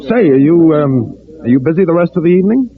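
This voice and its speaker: An actor playing an outspoken, scheming agitator with a chummy, colloquial manner in an old-time radio drama. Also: male voice, fairly high pitch, expressive, fast talker. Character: scheming, outspoken agitator posing as friendly citizen. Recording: tinny, narrow-band vintage radio recording.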